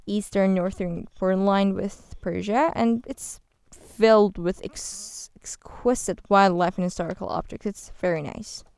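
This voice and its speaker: A chatty woman guest in a radio studio, talking in a bubbly, rambling way with a gravelly texture under a smooth, clear tone. The sound is close and dry. She is from Ghana. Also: mid-range voice, a little slow, a little mellow, no echo. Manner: chatty and bubbly, with frequent restarts. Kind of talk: casual interview chat. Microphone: studio microphone.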